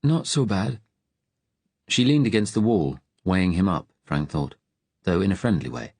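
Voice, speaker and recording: A man reading scripted audiobook narration in a measured, literary storytelling manner, close to the microphone. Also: low-pitched, very animated, a little mellow, slightly rough, dry recording.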